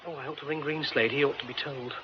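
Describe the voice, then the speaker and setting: A man's voice: medium pitch, fairly even intonation, fast, close to an everyday voice. A male actor playing an anxious, well-spoken, polite theatre man in a radio drama, urgent and agitated. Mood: tense, shaken. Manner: urgent, agitated, hesitant when shocked. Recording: dull radio recording with clear noise.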